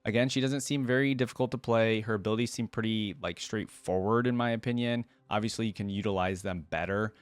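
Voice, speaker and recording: A man's voice: fairly low pitch, steady tone, a little fast, hardly any pauses, clean tone, no room sound. A young man giving casual, informal reaction commentary as a video host. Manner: informal, easily impressed.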